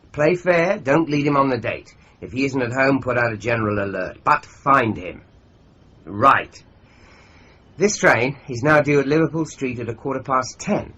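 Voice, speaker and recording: A man playing a brisk, commanding detective. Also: medium pitch, a bit bright, highs cut off, slight background noise, natural voice. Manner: brisk, authoritative.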